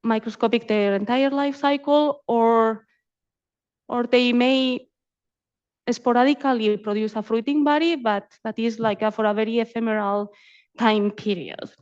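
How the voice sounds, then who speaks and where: A woman's voice: on the high side, fairly even intonation, unhurried, a little mellow, smooth, highs cut off. A woman presenting research at a conference, speaking as an academic and often repeating words as she goes. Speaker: invited academic speaker, community ecologist. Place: symposium talk.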